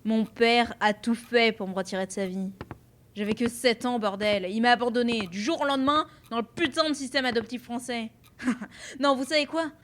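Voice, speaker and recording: A woman's voice: fairly high pitch, normal speed, a bit bright, natural voice. A woman playing a bitter, sarcastic woman in her twenties, blunt and sardonic, in a French audio drama. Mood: bitter, angry. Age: 20s.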